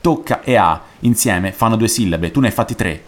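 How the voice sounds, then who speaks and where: A man's voice: fairly low pitch, expressive, moderate pace, slight background noise, close to an everyday voice. A man reading a story aloud as a smug, pedantic boy with a haughty, mocking manner. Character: smug, pedantic boy narrator correcting a bully. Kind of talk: short story read aloud.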